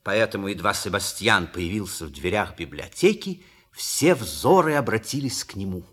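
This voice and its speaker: A man delivers scripted narration for an audio play. His husky voice has a wide, lively melody that sets up each scene. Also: fairly low pitch, normal speed.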